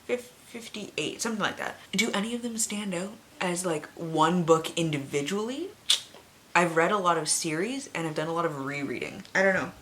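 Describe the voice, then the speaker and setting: A woman's voice: lowish voice, normal speed, a bit bright, a little hiss or hum. A woman hosting a casual book vlog, talking informally and emphatically with plenty of swearing. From Canada. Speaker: book reviewer, video host.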